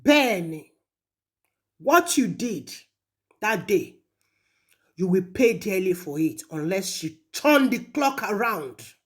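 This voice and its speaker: Nigerian female voice for a stern spoken admonition: measured, slow pacing, big pitch swings, rhetorical repetition. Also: big pitch swings. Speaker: speaker addressing a traditional ruler in a recorded message. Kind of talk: admonishing recorded audio message.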